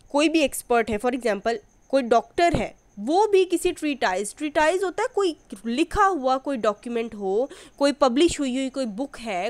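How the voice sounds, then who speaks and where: Woman, law tutor, fairly high voice, dry close sound, didactic lecture delivery, English terms mixed in. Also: no echo. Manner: didactic, mixes Hindi-Urdu with English terms, repeats check phrases. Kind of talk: explanatory lecture, reading out legal text and explaining it.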